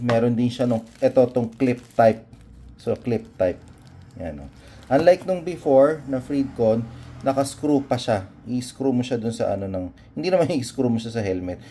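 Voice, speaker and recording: A Filipino man talks through a gear review in a relaxed, mellow voice at a middling pitch. His delivery is casual and unscripted, often pausing to find the word as he switches between Tagalog and English. There is some noticeable background noise. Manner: hesitant, unscripted, searching for words, mixing Tagalog and English. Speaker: motorcycle vlogger presenting a product. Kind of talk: casual unboxing and review chat.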